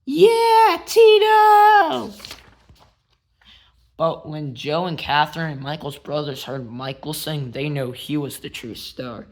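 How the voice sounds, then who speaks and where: A man narrating a biography as a read-aloud, telling it simply and in measured phrases. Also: on the high side, steady tone, fairly bright tone. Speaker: narrator and show host.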